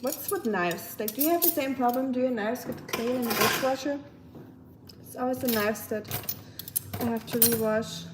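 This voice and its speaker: A woman's voice: fairly high pitch, moderate pace, heavy background noise. A young woman with a German accent chatting casually with her live stream viewers while she washes dishes in her kitchen. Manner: chatty, talks to chat while washing dishes. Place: home kitchen. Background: clattering dishes and cutlery.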